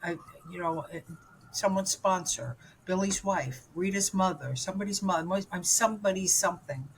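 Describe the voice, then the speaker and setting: A woman's voice: fairly low pitch, moderate pace, low-quality recording. Woman in her 70s from new york, chatty, rambles a lot, bit of background hiss. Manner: candid, rambling, frequent fillers and restarts. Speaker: guest. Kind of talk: personal story in interview.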